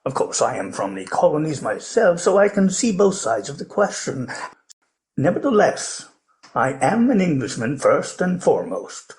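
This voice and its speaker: A man acts an elderly colonial plantation owner in a radio play. His voice sits fairly high and swings widely in pitch. He is genteel and pompous, hesitating and rambling courteously. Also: very animated, moderate pace, darkish tone. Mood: rambling, proud. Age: older.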